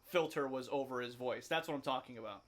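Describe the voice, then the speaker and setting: A man's voice: mid-range voice, fast talker, fairly bright tone. A man co-hosting a podcast in casual chat, eager and agreeable, jumping in with new ideas. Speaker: podcast co-host.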